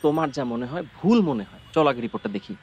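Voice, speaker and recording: A man's voice: on the high side, wildly swooping pitch, very fast, vocal fry, a little mellow, full character voice. Lovestruck, headstrong young man in a short film. Bangladeshi accent, very varied intonation, emotional, faint background noise. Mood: hurt, insistent. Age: young adult. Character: devoted, impulsive young man in love. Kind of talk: naturalistic short-film dialogue.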